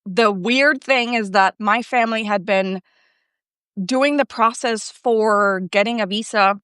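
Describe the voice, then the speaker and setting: Woman telling her story, chatty with lots of "like", smooth clear voice. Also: medium pitch, no room sound, clean studio sound. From Colombia. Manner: candid, relaxed storytelling with "like". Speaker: guest. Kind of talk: live-stream interview.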